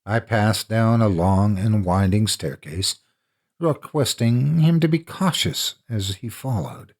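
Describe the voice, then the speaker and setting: A man with a gravelly, husky voice reading a classic horror story as dramatic narration. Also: on the low side, lively intonation, takes it slowly.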